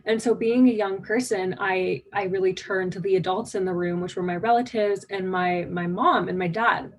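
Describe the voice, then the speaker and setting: A woman's voice: medium pitch, steady tone, moderate pace. A nineteen-year-old woman from the United States giving a conversational conference keynote, eager and full of fillers. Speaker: keynote speaker.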